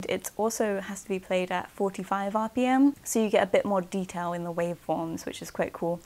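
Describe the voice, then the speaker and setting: A young woman, talking casually and warmly to camera about her records from her bedroom. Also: medium pitch. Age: young adult. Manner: enthusiastic, thoughtful, slightly chatty. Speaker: vlogger reviewing records. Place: bedroom, indoors.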